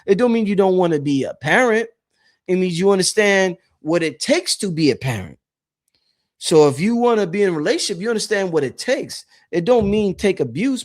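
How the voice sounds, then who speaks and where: High-pitched man in a casual, rambling monologue. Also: darkish tone. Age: late 30s. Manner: rambling, repeats phrases for emphasis. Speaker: host of a spirituality channel. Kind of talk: casual spiritual monologue to viewers.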